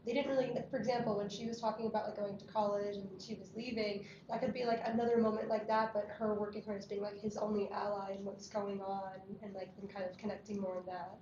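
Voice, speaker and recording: A woman's voice: mid-range voice, little pitch movement, fast talker, hardly any pauses. A woman chatting in a casual group discussion, very informal, with loose phrasing full of filler words. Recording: echoey room, muffled, clearly noisy.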